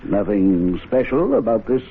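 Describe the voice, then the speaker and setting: An actor playing an urbane, wry club gentleman in a 1970s radio drama, arch and well-spoken. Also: lowish voice, very animated, a little slow, slight background noise. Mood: wry, probing. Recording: tinny, band-limited vintage radio recording.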